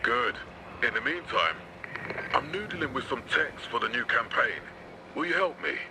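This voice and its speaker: An actor playing a commanding, theatrical military leader in a video game, emphatic and grandiose. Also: male voice, mid-range voice, very animated, normal speed, noisy background, lightly acted. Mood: pleased, eager. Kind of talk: cinematic game dialogue.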